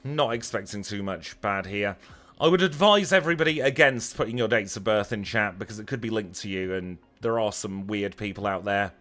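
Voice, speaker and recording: A man's voice: on the low side, brisk, a bit bright, soft background music. A man with a Lancashire accent chatting casually as he streams a video game, wry and self-deprecating. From Lancashire, northern England. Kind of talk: casual livestream chat over gameplay.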